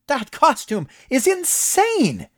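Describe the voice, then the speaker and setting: A man voicing an excitable, jovial businessman who gushes in a high voice. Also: normal speed. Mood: excited. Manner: high, gushing and breathless.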